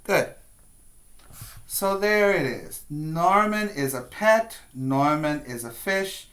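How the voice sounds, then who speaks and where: Slow-paced male preschool teacher. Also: fairly high pitch, expressive, fairly bright tone. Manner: instructive, asks questions and pauses for answers. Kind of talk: read-aloud and simple questions for young children.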